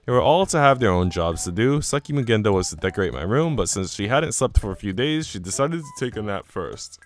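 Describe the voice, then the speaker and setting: A man's voice: on the low side, animated, brisk, soft background music. A man narrating a story from a visual novel, reading both the prose and the dialogue aloud.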